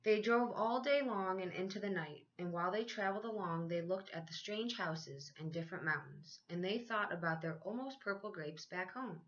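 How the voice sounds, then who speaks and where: A woman teacher reading a children's picture book aloud in a measured, expressive storytelling way, stretching out key phrases. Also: mid-range voice, lo-fi sound, slight background noise.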